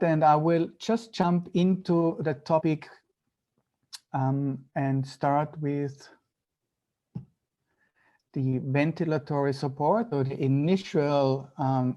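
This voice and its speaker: A man with an Austrian accent, a native German speaker, giving an online medical lecture in halting, deliberate phrases. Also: fairly high pitch, warm tone. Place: video call.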